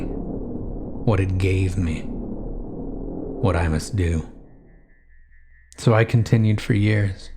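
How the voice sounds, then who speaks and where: A man narrating a first-person horror story in a grave, intense, dramatic way with drawn-out phrasing. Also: fairly low pitch, background noise.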